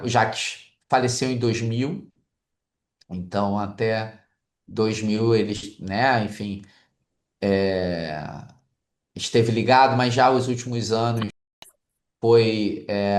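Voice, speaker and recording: A man's voice: on the low side, steady tone, very slow. A man from Brazil with a Brazilian Portuguese accent, a museum director, answering interview questions hesitantly with many filler sounds. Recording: echoey room.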